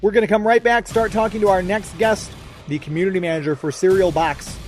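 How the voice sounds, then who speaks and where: A man's voice: sits high, steady tone, brisk, over music. A man hosting a radio show, talking with guests in a conversational interview style.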